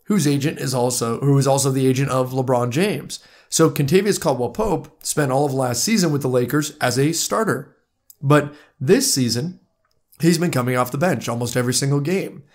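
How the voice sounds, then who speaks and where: A man hosting a solo podcast, talking straight to his listeners in a conversational way, hoarse from a cold. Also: medium pitch, normal speed, a little mellow. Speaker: podcast host. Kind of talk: solo sports podcast monologue.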